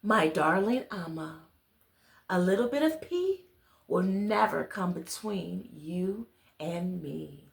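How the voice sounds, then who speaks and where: A woman voicing a kind, comforting old grandmother in a children's storybook, gentle and reassuring. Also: lowish voice, animated, slow, creaky, pitched below the performer's own voice, full character voice. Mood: tender, hushed. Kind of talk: read-aloud children's storybook.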